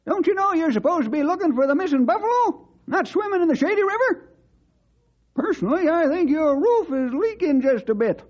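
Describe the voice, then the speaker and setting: Very high-pitched cranky old cowhand. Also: male voice, sing-song, fairly quick. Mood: incredulous, teasing. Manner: folksy rustic grammar, fretful grumbling. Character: cranky, folksy old male ranch sidekick. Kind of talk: old-time radio drama acting. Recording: dull old radio recording.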